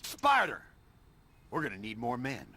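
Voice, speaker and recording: A man's voice: mid-range voice, huge pitch swings, unhurried, very noisy. A man voicing an aggressive henchman thief barking threats in a video game. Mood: menacing, urgent.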